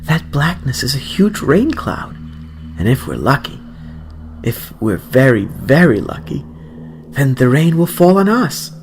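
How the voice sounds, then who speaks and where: A man's voice: mid-range voice, big pitch swings, a little slow, faint music behind. A man voicing an eager, commanding older grandmother in a dramatic storybook reading, amused and full of spirit. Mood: joyful wonder.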